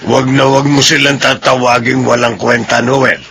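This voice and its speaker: An actor playing a patient, principled elderly retired soldier and kindly benefactor in a radio drama, with a gravelly voice. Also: male voice, medium pitch, little pitch movement, normal speed, close to an everyday voice. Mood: firm, defending. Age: older. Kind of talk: radio drama acting with narration. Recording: noisy radio drama recording.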